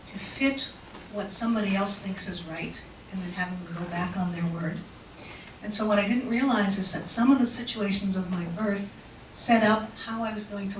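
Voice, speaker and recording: Woman talking slow and thoughtful to a crowd, mic sounds far off, lots of room echo, bit of hiss. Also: fairly low pitch, fairly bright tone. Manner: unhurried, reflective storytelling with pauses. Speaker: invited speaker. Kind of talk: personal talk to an audience. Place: auditorium. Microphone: microphone. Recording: echoey hall mic heard from afar.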